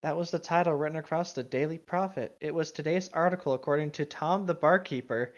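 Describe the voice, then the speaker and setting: A podcast co-host chatting casually and reading a story aloud mockingly, blunt, exasperated and quick to swear. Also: mid-range voice. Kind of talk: casual banter and mock fanfiction reading. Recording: dull, lo-fi microphone sound.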